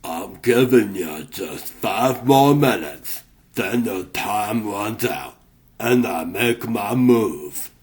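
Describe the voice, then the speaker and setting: A man voicing a gruff, grumbling tough-guy pig hero with surly, impatient, streetwise talk in a cartoon comic dub. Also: lowish voice, lively intonation, takes it slowly, a little mellow, pitched below the performer's own voice. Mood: impatient, menacing.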